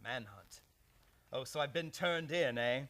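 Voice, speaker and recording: An actor playing a cold-blooded murderous villain in an old-time radio play, speaking with slow menace. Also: male voice, medium pitch, expressive, bright, forceful tone. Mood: threatening. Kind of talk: live old-time radio drama acting.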